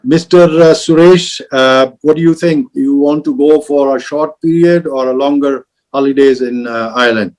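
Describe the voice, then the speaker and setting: A man moderating an online travel industry panel on a video call, halting with many fillers and restarts. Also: medium pitch, a little mellow, poor audio.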